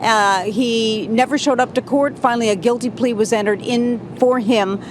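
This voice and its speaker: A woman's voice: fairly even intonation, normal speed. Woman reporting live from a noisy street. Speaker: TV news reporter. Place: outdoors on a city street. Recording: noisy outdoor street, traffic rumble.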